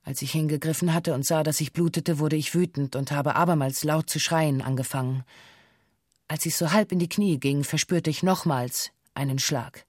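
A woman playing a former hostage who gives sober witness testimony in a documentary radio drama, formal and deliberate in delivery. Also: deep voice, darkish tone, no room sound, studio quality. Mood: sober, factual.

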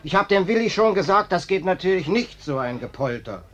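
An actor playing a cheeky, mock-courteous ex-circus arsonist in a German radio play, with a breezy manner. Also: male voice, high-pitched, big pitch swings, a little fast, fairly bright tone. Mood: mock-polite.

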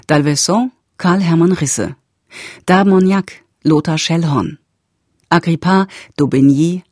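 A woman's voice: deep voice, darkish tone, dry recording, studio quality. A woman announcer reading scripted radio credits in a formal, orderly manner. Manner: formal, evenly paced list reading.

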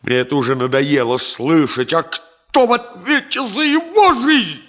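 A man's voice: on the high side, lively intonation, unhurried. An actor playing a brusque, agitated male chief engineer in a 1970 Soviet radio play, emotional and abrupt. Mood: angry, anguished. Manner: emotional, abrupt, swinging from hushed to shouting.

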